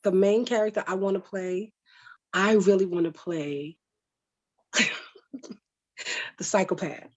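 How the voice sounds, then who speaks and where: A woman's voice: medium pitch, takes it slowly, long pauses. A woman cast member talking in a panel interview, emphatic and repeating phrases to drive points home. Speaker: stage play cast member. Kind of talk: animated panel interview chat.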